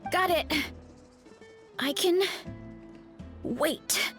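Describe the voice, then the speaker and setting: A woman's voice: extremely high pitch, fairly bright tone, soft background music, full character voice. A woman voicing a sweet, modest young female warrior in an anime game, hesitant and dreamy. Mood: sheepish, sleepy. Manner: hesitant, drifting, unhurried.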